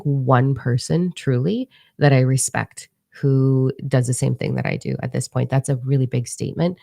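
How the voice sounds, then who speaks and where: A woman with a low, gravelly voice, her first language Italian, talks in a loose, rambling monologue full of ums and self-corrections. Her tone is dark and mellow, and the close, dry recording has no room sound. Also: no room sound. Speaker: spiritual channeler. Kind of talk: casual monologue to camera.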